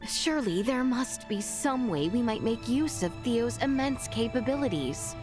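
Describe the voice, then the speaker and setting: A fairly high-voiced young princess, acted by a woman in a video game cutscene. She is earnest, caring and determined, choosing courtly, formal words. Music plays clearly behind her. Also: expressive, moderate pace, darkish tone, natural voice. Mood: hopeful, insistent.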